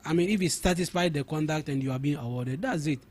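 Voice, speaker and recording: A man's voice: fairly high pitch, fast talker. A young man with a Ghanaian English accent, a guest on a radio talk show, arguing his points emphatically and often repeating words as he builds them. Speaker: studio guest.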